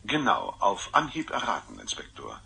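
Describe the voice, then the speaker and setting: A man's voice: lowish voice, wildly swooping pitch, moderate pace, creaky, bright, forceful tone, breathy edge, heavily acted. An actor playing a composed, formal and cagey man confessing to a murder in a German radio play. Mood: polite, evasive.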